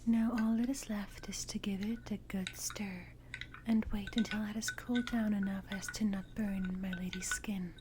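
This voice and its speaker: A woman playing a devoted, gentle Regency lady's maid in a whispered ASMR roleplay, speaking in a hushed, formal, deferential manner. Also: mid-range voice, steady tone, moderate pace, noisy background, natural voice. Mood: reverent, attentive. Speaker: ASMR performer. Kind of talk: whispered ASMR roleplay, scripted period narration.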